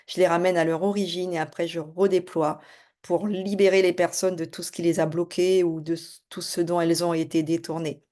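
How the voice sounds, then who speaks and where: A middle-aged woman from French Guiana who works as a spiritual and business coach, introducing herself to camera in a fast, casual way with many hesitations. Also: on the low side, a little mellow.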